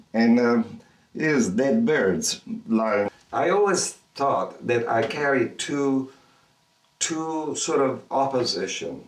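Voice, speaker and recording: An older man from Egypt, an artist, speaking in a reflective documentary interview, halting and repeating words as he thinks aloud. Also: mid-range voice. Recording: roomy, mic at a distance.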